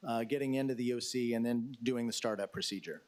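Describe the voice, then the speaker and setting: Emergency official, male, mid-pitch, halting delivery, fillers and restarts, formal "sir". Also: darkish tone. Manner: hesitant, many fillers and restarts; addresses questioner as sir. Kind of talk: answering questions at a council briefing.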